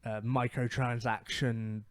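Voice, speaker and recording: British male podcast co-host, clean resonant tone, halting and reflective casual opinion, dry sound. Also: mid-range voice, flat delivery, no echo. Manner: hesitant, halting, thinks aloud. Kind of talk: casual opinionated gaming chat.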